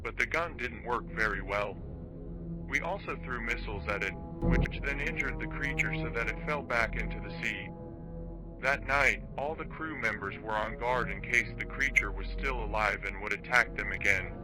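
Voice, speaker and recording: A man playing a solemn documentary narrator of monster attacks, reading a formal, measured horror script. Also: mid-range voice, close to an everyday voice, rough recording. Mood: grave. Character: grave male documentary narrator reporting giant monster attacks. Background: background music.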